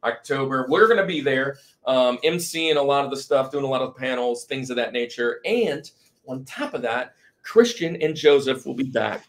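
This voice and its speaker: An enthusiastic male podcast host with a medium-pitched voice. He talks casually and tends to repeat short phrases as he goes, in the loose style of banter among friends. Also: normal speed.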